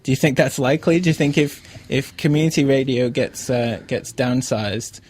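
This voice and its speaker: An Australian man co-hosting a podcast, chatting casually in a halting, thoughtful, informal way. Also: medium pitch, a little mellow. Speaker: podcast co-host, interviewer. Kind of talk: casual chat.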